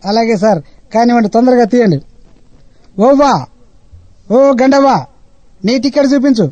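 An actor playing a harried train ticket collector, exasperated and pleading with passengers. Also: male voice, very high-pitched, moderate pace, dark tone, no echo. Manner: exasperated, pleading, formal address.

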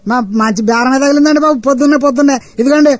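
A woman voicing a touchy, talkative rustic man with a North Coastal Andhra accent, protesting loudly at being suspected. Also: high voice, fairly even intonation, racing, dry recording, lightly acted. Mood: indignant. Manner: offended, protesting, long drawn exclamations.

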